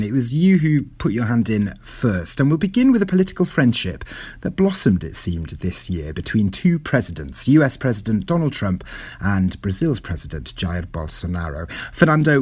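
A man hosting a radio news review, talking in a chatty, lively way with a few sort-of fillers. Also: mid-range voice, breathy edge, no room sound. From Wales. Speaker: radio host.

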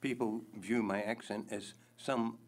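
An older man with a Scottish accent explaining things deliberately in a casual chat, the host's father. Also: on the low side, steady tone. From Scotland.

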